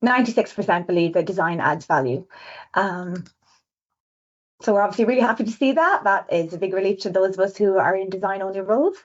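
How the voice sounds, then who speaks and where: A woman with an Irish accent, a visual designer presenting survey results on a webinar in a quick, chatty way. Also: medium pitch, lively intonation. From Ireland. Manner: fast-talking, chatty, self-aware. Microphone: video call. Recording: dull lo-fi webinar audio.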